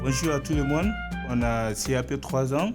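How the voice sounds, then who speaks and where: Hesitant young man, halting French. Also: medium pitch, over music. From Guinea. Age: young adult. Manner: hesitant, many fillers. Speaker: vocational student. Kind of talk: classroom debate.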